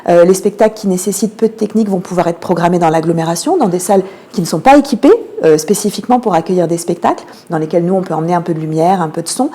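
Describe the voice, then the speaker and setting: Mellow-voiced woman explaining, with frequent hesitations. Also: fairly low pitch, racing, few pauses. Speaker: theatre programming manager, interview guest. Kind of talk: radio interview, explanatory answers.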